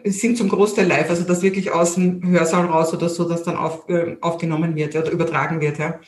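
Middle-aged Viennese woman, opinionated guest voice, lively with stuttered restarts, roomy echo. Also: on the low side, fast talker. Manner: lively, opinionated, repeats words when starting sentences. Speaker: guest, learning coach and entrepreneur. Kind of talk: casual conversational interview.

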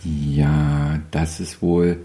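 A man's voice: very deep voice, extremely slow. A man in his fifties, a photographer being interviewed, talking casually with wry humor and many hesitations. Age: 50s. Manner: relaxed, rambling storyteller with wry humor and frequent hesitations. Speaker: photographer guest.

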